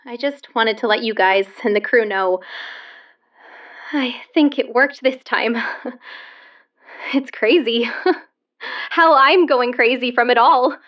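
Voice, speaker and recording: A woman playing a frazzled survivor in an audio drama, leaving a halting, confiding message. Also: a little high. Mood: frazzled, affectionate. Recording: muffled, distant lo-fi message recording.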